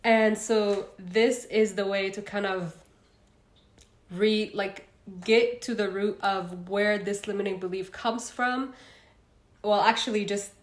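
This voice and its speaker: A chatty young woman from Egypt hosts a casual self-help livestream in a room with a noticeable echo. Her pitch sits at an ordinary middle level, and her talk is loose and conversational, full of fillers and restarts. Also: steady tone, slow pace. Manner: chatty, reads out viewer comments, frequent fillers. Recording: roomy echo.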